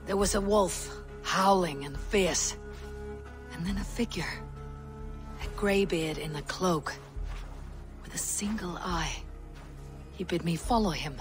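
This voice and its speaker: Viking warrior, plain-spoken, steady, clear music behind. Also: female voice, fairly low pitch, slow, pauses often. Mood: hesitant. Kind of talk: video game cutscene.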